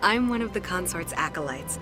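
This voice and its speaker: A woman voicing a courteous, poised alien acolyte who receives clients in a video game, polite, soothing and measured. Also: on the low side, lively intonation, lightly acted. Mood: serene, inviting. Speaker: receptionist acolyte. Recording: game music behind the voice.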